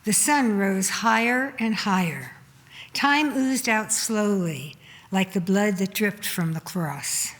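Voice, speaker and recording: A woman's voice: mid-range voice, expressive, slow pace. A woman reading solemn scripted narration at a church service.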